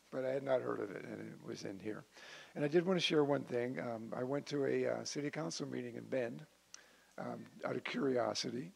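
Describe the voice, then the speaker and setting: Very gravelly man at a council meeting. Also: medium pitch, a little mellow. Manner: hesitant, halting. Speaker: city council member. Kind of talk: informal remarks at a council meeting. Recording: hissy meeting-room audio.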